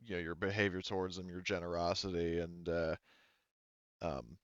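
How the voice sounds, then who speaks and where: A man acts as game master, improvising narration for a tabletop roleplaying game. His voice is smooth and on the low side, and he speaks casually and haltingly. The recording is dry and a little dull. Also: steady tone, no echo.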